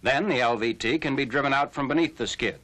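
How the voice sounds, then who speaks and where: A man narrating a scripted 1940s military newsreel in a formal, measured announcer's delivery. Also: medium pitch, fairly bright tone. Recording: noisy old 1940s film soundtrack.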